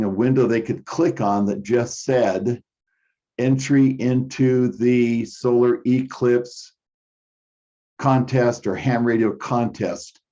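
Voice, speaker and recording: A man with a soft-edged, mellow voice of middling pitch talks casually in an online meeting. He is tentative and halting as he makes his points. The audio is slightly dulled by the call. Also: dull audio. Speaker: meeting participant. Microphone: online conference call.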